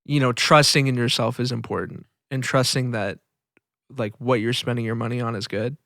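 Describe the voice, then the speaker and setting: Man, mid-pitched voice, dry sound, podcast co-host, casual, teasing. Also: steady tone, moderate pace, creaky, fairly bright tone, no echo. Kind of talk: casual chat.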